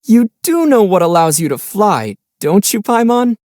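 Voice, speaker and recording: Young, lively teasing traveler hero. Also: male voice, sits high, normal speed, no echo, studio quality. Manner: teasing, wry. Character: young male traveler hero, calm, playful, gently teasing. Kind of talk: video game voice acting.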